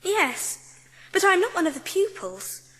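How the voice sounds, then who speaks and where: A woman playing a gentle, well-spoken young schoolgirl with a British accent and a light, youthful voice in an audiobook drama. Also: very high voice, very animated, measured pace, long reverb tail, full character voice. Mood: polite, earnest. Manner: well-spoken, sweet, earnest. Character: gentle seven-year-old schoolgirl, tender, polite, fond of animals.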